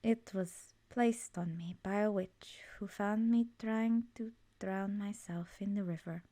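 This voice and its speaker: A woman voicing an ancient, immortal swamp witch in an ASMR roleplay, soft-spoken and often whispery, gently knowing and wistful. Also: medium pitch, fairly even intonation, measured pace, no room sound, natural voice. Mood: wistful, somber.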